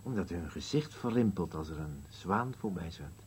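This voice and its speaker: Old husband, very low voice, terse, gloomy, contrary, radio play, faintly noisy humming recording. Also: male voice, animated, moderate pace. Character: gloomy, contrary elderly husband on a park bench. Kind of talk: Dutch-language radio play acting.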